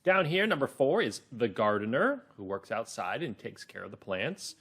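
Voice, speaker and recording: A man's voice: medium pitch, big pitch swings, normal speed, fairly bright tone, breathy edge. A man leading a read-along lesson for children, explaining things simply and expressively.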